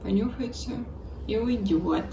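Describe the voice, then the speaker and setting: Chatty woman vlogger, slightly hoarse. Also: medium pitch, measured pace, highs cut off. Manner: chatty, drops into whispers, laughs softly. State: a bit hoarse. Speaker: cross-stitch vlogger. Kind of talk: casual chat showing needlework. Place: home, at a table. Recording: echoey room, mic at a distance, noisy background.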